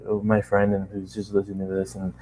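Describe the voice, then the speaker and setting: A man's voice: lowish voice, completely flat delivery, fast talker, dark tone, poor audio. A tired man reading a story aloud as an amateur narrator, often stumbling and rereading phrases. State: tired, running out of steam. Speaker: amateur fan-fiction reader.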